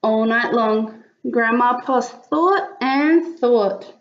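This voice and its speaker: A woman reading a picture book aloud as an expressive storyteller, with dramatic emphasis for young listeners. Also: fairly high pitch, extremely slow, dull audio. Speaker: children's story reader.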